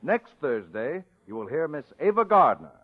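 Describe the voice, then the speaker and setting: A man's voice: on the high side, big pitch swings, moderate pace. A man reading closing announcements in a formal, declamatory 1940s network radio style. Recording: tinny old radio, faint music.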